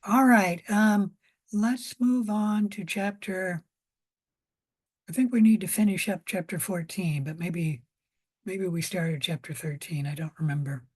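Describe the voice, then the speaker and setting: A woman with a hoarse voice giving an informal biology lecture, explaining step by step with pauses and repetition. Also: on the low side, darkish tone.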